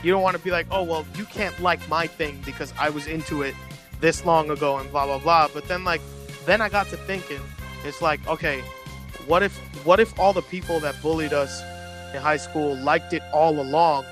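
A man's voice: fairly high pitch, normal speed, music in the background. A man whose first language is Spanish, chatting casually as a podcast guest and telling a rambling story full of slang. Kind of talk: casual chat.

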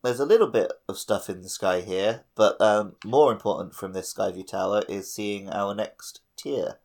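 A man's voice: on the low side, normal speed, creaky, a little mellow. A man hosting a podcast, casually discussing a game in an informal, explanatory way. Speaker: podcast host.

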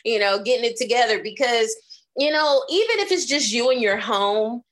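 A woman chatting casually as an interview guest, in an informal, animated way with plenty of "like" and "you know". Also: a little high, normal speed. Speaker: guest, astrologer and card reader. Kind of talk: casual conversational chat.

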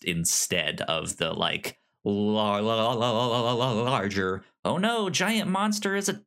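A man chatting casually as a podcast co-host, halting and often repeating words as he works out his thought. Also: on the low side, lively intonation, a bit bright. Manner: halting, stammering, repeating words and syllables as he goes. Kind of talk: casual film discussion chat.

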